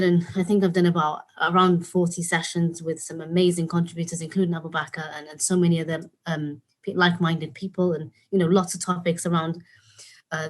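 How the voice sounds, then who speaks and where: Earnest woman. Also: on the low side. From Wales. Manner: earnest, with frequent fillers and restarts. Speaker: host. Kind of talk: casual conversational introduction.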